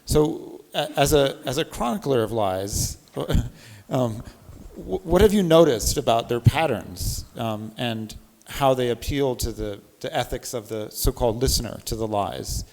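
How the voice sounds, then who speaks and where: A man's voice: medium pitch, lively intonation, a little slow, hardly any pauses, darkish tone. Man moderating in a reverberant hall. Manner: hesitant, stumbles into question. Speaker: panel moderator. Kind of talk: panel moderation question. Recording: hall reverb, live panel.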